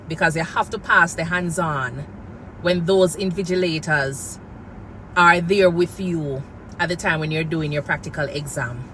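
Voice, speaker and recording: Jamaican woman with a bright, earnest voice. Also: mid-range voice, a little hiss or hum, poor audio. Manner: earnest, preachy, slips into patois phrases. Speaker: nurse and nursing coach. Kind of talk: casual vlog talk to camera.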